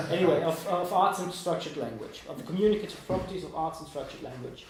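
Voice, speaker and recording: A man in his twenties from Germany and Italy gives an informal live presentation in a hesitant, rambling, self-deprecating way. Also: on the high side. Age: 20s. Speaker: student presenter giving a talk. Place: indoor room at a small meetup. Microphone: distant room microphone. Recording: echoey room, distant mic, background noise.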